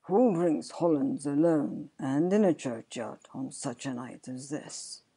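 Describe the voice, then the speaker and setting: Storybook goblin played by a woman: deep, slow, stern, mockingly menacing. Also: mellow, breathy edge, heavily acted, low-quality recording. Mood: stern menace. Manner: stern, slow, deliberate questioning. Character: stern grinning unearthly male goblin, taunting and menacing. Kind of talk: dramatic storybook reading.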